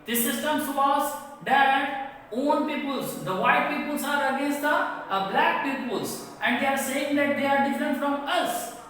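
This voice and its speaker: A woman's voice: high-pitched, fairly bright tone. A woman teacher with an Indian English accent, reading a textbook passage aloud to students and explaining it line by line in simple words. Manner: slow, repetitive, reads a line then paraphrases it. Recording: echoey room from far off.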